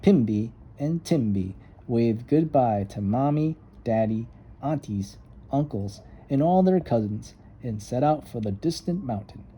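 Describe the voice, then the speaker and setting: A man reading a children's story aloud with expressive, emphatic narration. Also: medium pitch, measured pace, slightly rough, low-quality recording. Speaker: storybook reader.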